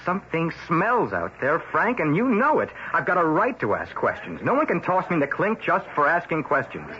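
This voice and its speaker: Stubborn male reporter, forceful bright voice, swooping intonation, wisecracking, vintage radio drama. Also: on the high side, wildly swooping pitch, a little fast, full character voice. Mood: suspicious, insistent. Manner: wisecracking, insistent. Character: brash, stubborn, suspicious newspaper reporter. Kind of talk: old-time radio drama acting. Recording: dull vintage radio recording.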